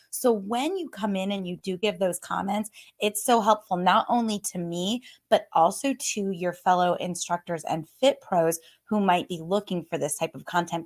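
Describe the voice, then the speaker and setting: A woman talks to her live-stream viewers in a bubbly, chatty way and laughs easily. Her voice is a little hoarse, and her pitch sits in an ordinary middle range. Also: a little mellow. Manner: bubbly and chatty, says "y'all", laughs often. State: slightly hoarse. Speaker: business coach hosting a live stream. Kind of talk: casual live-stream talk.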